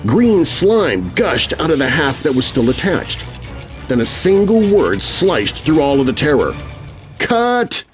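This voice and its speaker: A male narrator reads a scripted horror story for young listeners. His delivery is theatrical and full of suspense, with a mid-range voice that rises and falls widely over quiet background music. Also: medium pitch, very animated, unhurried. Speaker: narrator and host.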